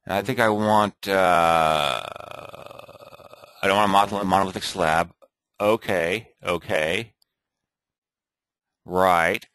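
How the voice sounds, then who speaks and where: A man thinking aloud and muttering to himself as he clicks through an off-the-cuff software tutorial at his computer. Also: low-pitched, fairly even intonation, slow, pauses often, smooth, no echo. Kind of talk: off-the-cuff screen recording tutorial.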